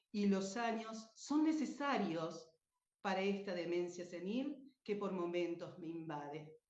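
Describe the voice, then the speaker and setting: A woman playing Madness as a tender, haunting female visitor in a slow theatrical radio play reading. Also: medium pitch, darkish tone. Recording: echoey room, dull muffled sound.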